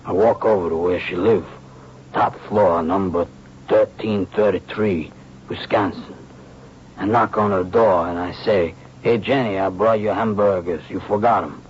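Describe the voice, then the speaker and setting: An actor playing a nervous, defensive theater worker, halting and plain-spoken, in a radio drama. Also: male voice, low-pitched. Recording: dull, noisy old radio recording.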